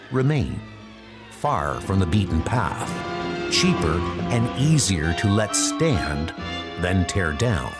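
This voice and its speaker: A man giving dramatic, measured scripted narration of a comic book story. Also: low-pitched. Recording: loud music behind.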